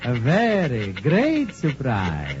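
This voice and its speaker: An actor playing a suave, sinister dollmaker who feigns innocence with formal, theatrical manners in a 1950s radio drama. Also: male voice, mid-range voice, wildly swooping pitch, slow pace, very noisy. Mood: sly, ingratiating. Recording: dull radio recording, music behind.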